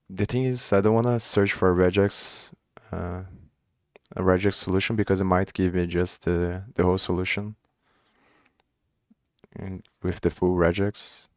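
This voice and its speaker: Low, flat-voiced Brazilian man. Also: a little slow, pauses often, no echo, clean studio sound. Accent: Brazilian Portuguese. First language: Portuguese. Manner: thinking aloud, restarts and trailing phrases. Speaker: coding streamer. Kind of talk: casual chat while coding on a livestream.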